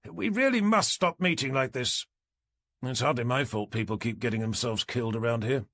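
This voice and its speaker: A man's voice: mid-range voice, normal speed, a bit bright, dry recording, studio quality. A man voicing a fussy, exasperated police doctor in an audiobook, with a British accent. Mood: weary.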